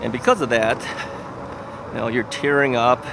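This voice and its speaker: An older man talks in a loose, rambling vlog chat, full of fillers and quiet chuckles. His voice sits at an ordinary middle pitch and sounds a little stuffy and sniffly. He is recorded outdoors, with heavy car and street noise behind him. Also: fairly even intonation, moderate pace. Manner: rambling and chatty, with frequent fillers and soft laughs. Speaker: e-bike vlogger. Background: loud traffic and street noise.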